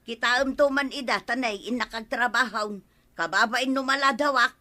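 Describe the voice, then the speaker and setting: A woman playing a sharp-tongued working wife in a comic radio drama, nagging her husband with quick retorts. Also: a little high.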